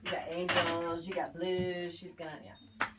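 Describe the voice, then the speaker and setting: A woman's voice: on the low side, flat delivery, measured pace, background noise. A woman giving a conversational spiritual reading as an aura reader, talking in a chatty, emphatic, assured way.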